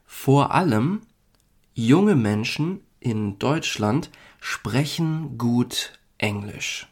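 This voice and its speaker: A man from Germany hosting a podcast, reading a lesson for learners in slow, deliberate, clearly spaced phrases. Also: mid-range voice, lively intonation. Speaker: podcast host teaching German.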